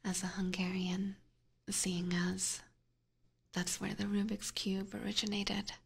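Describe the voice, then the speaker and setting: A woman's voice: medium pitch, level tone, creaky, breathy edge, exaggerated voice. A woman voicing a seductive, teasing vampire catgirl in an ASMR roleplay, whispering breathily and coaxingly right up close to a binaural microphone. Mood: playful, teasing. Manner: slow, coaxing, playfully menacing. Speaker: streamer playing a character for live chat.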